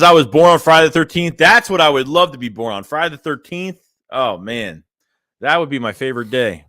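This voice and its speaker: A man's voice: lowish voice, a bit bright, no room sound, clean studio sound. A young man hosting a casual fan livestream, reading chat messages and rambling loosely with lots of "man" and "dude". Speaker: fan channel host reading live chat.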